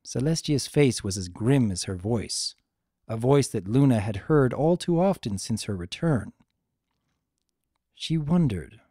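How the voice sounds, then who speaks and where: A man narrating a piece of fan fiction as a dramatic reading, measured and expressive. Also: medium pitch, dry recording, clean studio sound. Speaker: story narrator.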